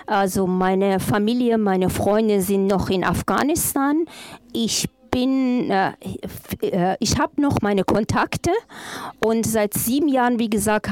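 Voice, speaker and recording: A woman interpreting at a panel talk in the first person, haltingly, often repeating and rephrasing her phrases. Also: mid-range voice, a little mellow, no room sound.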